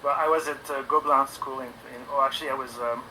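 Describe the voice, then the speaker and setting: A man in his forties from France, speaking English with a French accent and as a non-native speaker, talking about his work in a relaxed, halting way full of ums and restarts. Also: medium pitch, a little hiss or hum, rough recording. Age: 40s. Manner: hesitant, many ums and restarts. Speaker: animation guest on a stream. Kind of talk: casual interview talk.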